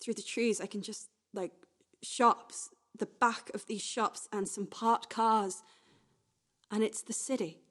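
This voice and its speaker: A woman playing a restless, wistful young woman in a confessional radio play monologue, speaking naturally in halting, repetitive phrases. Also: mid-range voice, steady tone, natural voice. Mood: hushed, tender. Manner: halting, repetitive, swinging from hushed to agitated.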